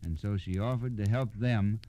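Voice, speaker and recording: A man's voice: lowish voice, normal speed, mellow, low-quality recording. An American man narrating from a script as an old-time radio storyteller, in a plain, explanatory way.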